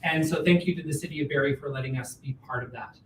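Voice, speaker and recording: A man giving a live welcome speech as host of a theatre event. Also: fast. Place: indoor venue with in-person audience. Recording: echoey room, distant mic, faint rumbling noise.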